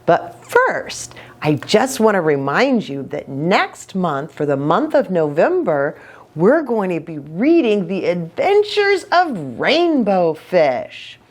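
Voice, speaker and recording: A woman's voice: lowish voice, sing-song, slow. A woman hosting a children's story time, speaking expressively to young listeners.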